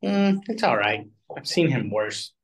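A man co-hosting in casual chat, tossing in short, jokey reactions and quick to laugh. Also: mid-range voice, big pitch swings. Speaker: co-host of a cartoon countdown show.

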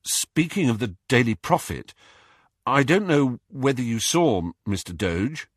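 Earnest young wizard boy, man reading him, a bit husky, dry close mic. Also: mid-range voice, very animated, measured pace, no echo. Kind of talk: audiobook character voice.

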